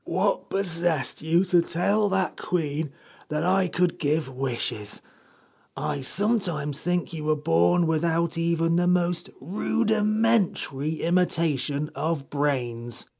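Gravelly, slow, haughty sand fairy. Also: male voice, a little high, darkish tone, pitched above the performer's own voice, heavily acted. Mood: scornful. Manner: slow, withering, pompous drawl. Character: grumpy sarcastic old sand fairy creature. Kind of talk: audiobook character voice.

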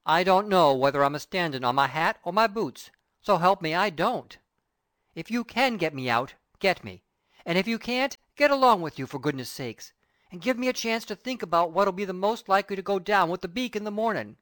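A woman's voice: lowish voice, animated, fairly quick, a bit bright, no echo. Woman voicing a plaintive Cockney burglar. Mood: anxious, flustered. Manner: colloquial, ungrammatical, plaintive. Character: timid, plaintive working-class male burglar, comically earnest. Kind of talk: audiobook character reading.

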